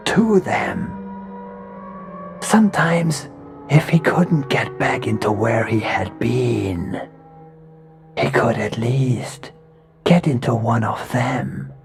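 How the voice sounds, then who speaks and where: A man narrating a horror story, voicing an uncanny being that only poses as a calm man, speaking softly and measured, often sinking to a whisper. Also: mid-range voice, pitched above the performer's own voice. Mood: whispered menace. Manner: soft, measured, slips into hushed whispers. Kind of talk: horror audiobook reading.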